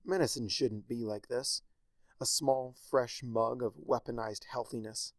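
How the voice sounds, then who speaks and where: A man reading a story aloud with a wry, expressive delivery. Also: medium pitch, measured pace, no room sound. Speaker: fanfiction narrator.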